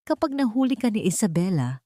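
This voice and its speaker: A woman's voice: mid-range voice, very animated, a little fast, dark tone, dry recording, studio quality, voice pushed up, close to an everyday voice. A woman voicing an anxious, heartfelt elderly mother in an audio drama. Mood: worried. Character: anxious, grateful elderly mother held captive.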